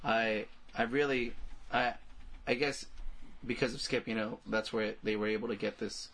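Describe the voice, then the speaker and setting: A man co-hosting a casual film discussion, speaking in a halting, slangy way and often repeating himself. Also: lowish voice, level tone, fairly bright tone. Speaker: podcast co-host.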